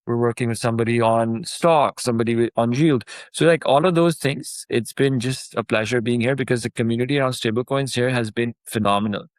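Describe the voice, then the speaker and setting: A man from Pakistan chats easily as a founder guest, his words full of fillers, and he lifts his voice when something excites him. His pitch sits mid-range. The sound is close and dead, with no room around it. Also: fairly quick, few pauses, no room sound. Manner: relaxed and conversational, many fillers, animated when excited. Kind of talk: casual interview chat.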